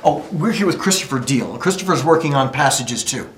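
A man's voice: fairly high pitch, lively intonation, fairly quick, fairly bright tone, slight background noise. A man hosting a podcast in an upbeat, casual style. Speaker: podcast host.

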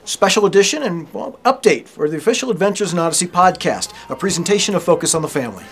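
A man's voice: high voice, expressive, fast talker, fairly bright tone. A man co-hosting a podcast, asking questions and presenting in a casual style. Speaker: podcast co-host. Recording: faint background noise.

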